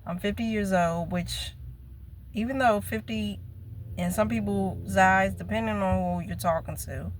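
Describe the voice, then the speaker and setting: A woman in her fifties talking slowly and earnestly to her viewers in a casual personal vlog. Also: mid-range voice, a little hiss or hum. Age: 50s. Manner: slow, reflective, earnest. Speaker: vlogger.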